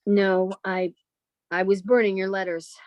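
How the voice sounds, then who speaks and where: A woman's voice: mid-range voice, level tone, a little slow, no room sound, lo-fi sound, natural voice. A woman playing an earnest, love-struck and defiant sister in her thirties, in an old-time radio melodrama style. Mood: anxious.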